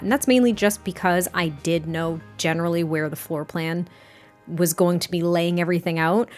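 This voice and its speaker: A woman with a slightly raspy voice chatting casually in a voice-over about her building, with fillers and a rambling, friendly manner. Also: mid-range voice. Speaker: game builder narrating a speed build. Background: soft background music.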